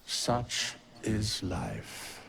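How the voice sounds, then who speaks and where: An actor playing an ancient, solemn undead skeleton in a video game, speaking archaic, formal English with a breathy, measured delivery. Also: male voice, fairly low pitch, big pitch swings, a bit bright, slight background noise, heavily acted. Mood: calm, cryptic.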